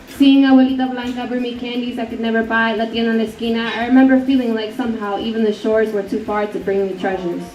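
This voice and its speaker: A young woman with a fairly high voice reads her own poetry aloud to a room. She is earnest and slips Spanish words into the lines. The sound is echoey and picked up from a distance. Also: darkish tone. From Honduran-born, raised in the United States. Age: 20s. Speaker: spoken word performer. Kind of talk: reading a personal poem to an audience. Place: indoor fundraiser event room. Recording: echoey room, distant dull mic, noisy.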